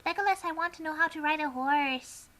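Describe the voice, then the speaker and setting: Woman playing a very high, bright little girl princess. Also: a little fast. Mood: eager, childlike. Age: child figure. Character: young girl princess Laura, sweet and eager. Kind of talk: fan fiction dramatic reading.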